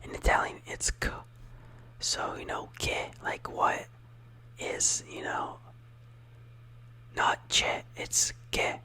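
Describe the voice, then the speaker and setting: A speaker whispering a casual ASMR lesson, softly repeating words and rambling with lots of fillers. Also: takes it slowly, pauses often. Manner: soft whispering throughout. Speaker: teacher of Italian words.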